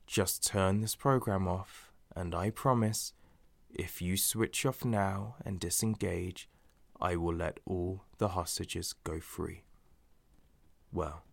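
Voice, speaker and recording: An actor playing a menacing, sardonic talk show host in a satirical audio drama, with a theatrical, taunting manner. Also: male voice, deep voice, gravelly, exaggerated voice.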